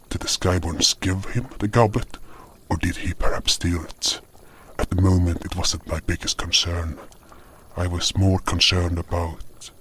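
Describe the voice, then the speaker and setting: Deep-voiced man narrating fantasy. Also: a bit bright, slight background noise. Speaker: narrator and voice actor. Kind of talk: scripted first-person fantasy narration.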